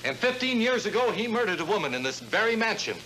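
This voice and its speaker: An actor playing an earnest, bumbling Scotland Yard inspector in a stagey, emphatic 1950s television sketch. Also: male voice, high voice, big pitch swings, quick pace, sharp tone, full character voice. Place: television studio stage set. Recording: very noisy old TV soundtrack.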